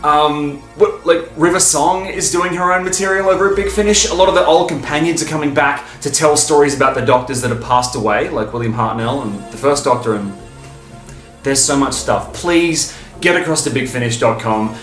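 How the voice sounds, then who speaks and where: A man hosting a fan video, talking in an enthusiastic, informal, emphatic way, stopping and starting with pauses for breath. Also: on the high side, a bit bright, reverberant, faint music behind. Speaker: video host and fan reviewer.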